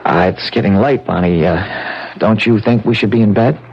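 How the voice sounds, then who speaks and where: An actor in an old-time radio drama playing a pushy, folksy young country-born bridegroom who talks in a colloquial, cajoling way. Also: male voice, on the low side, normal speed, breathy edge. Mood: relaxed, musing. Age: young adult. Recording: dull, noisy old radio broadcast.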